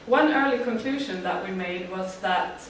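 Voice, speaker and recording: A woman from Sweden presents her team's project to an audience, pausing on frequent fillers. Her voice sounds distant in a big, very echoey hall, with faint room noise behind it. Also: mid-range voice. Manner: speaks with frequent uh fillers, friendly and plain. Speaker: student team presenter.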